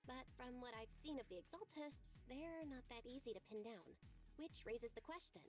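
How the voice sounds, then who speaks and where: An earnest young female proxy in a video game, voiced by a woman, sharp and cautious. Her high voice stays direct and sincere, with light game music in the background. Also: animated, normal speed, natural voice. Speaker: proxy guide.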